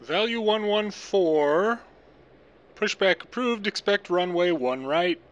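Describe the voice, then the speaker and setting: Higher-pitched man doing air traffic control gameplay. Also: lively intonation, slow, lo-fi sound. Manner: clipped procedural phrasing with casual asides. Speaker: gaming channel host playing an air traffic controller. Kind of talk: controller phraseology and gameplay commentary.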